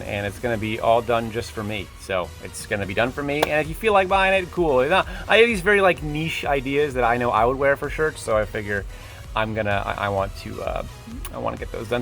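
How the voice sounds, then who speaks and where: A man's voice: medium pitch, a bit bright. A man of twenty-eight talking casually as a vlog host, rambling and self-deprecating as he wanders into tangents. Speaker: YouTube creator and host.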